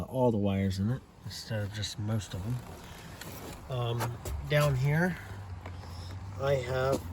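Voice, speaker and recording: An American man giving a casual, unscripted video tour as a hobbyist, in a halting, plainspoken way with pauses mid-sentence. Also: medium pitch, a little hiss or hum. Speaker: amateur radio hobbyist giving a setup tour.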